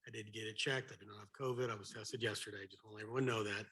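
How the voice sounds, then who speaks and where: A man with a slight cold and a raspy voice, chairing a committee meeting in a plain, somewhat halting manner. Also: on the low side, steady tone, bright, forceful tone. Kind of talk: formal meeting procedure.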